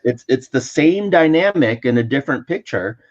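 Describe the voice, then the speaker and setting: Man, casual chat, quick laughs, repeats words, muffled lo-fi call audio. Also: mid-range voice, expressive. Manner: laughs often, repeats words while searching. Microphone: remote call mic.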